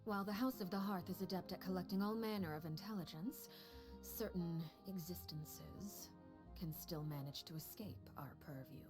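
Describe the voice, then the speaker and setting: An actress playing a cold, composed woman who leads a household of spies, speaking in a measured, quietly menacing way. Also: fairly low pitch, close to an everyday voice. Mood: cool. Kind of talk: video game dialogue. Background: game music.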